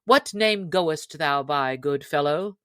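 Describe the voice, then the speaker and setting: Woman voicing a hearty, unhurried jolly outlaw leader. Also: low voice, lively intonation, no room sound, clean studio sound. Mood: good-humored, challenging. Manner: hearty, archaic speech. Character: jolly bold young male outlaw leader. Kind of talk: storybook character reading.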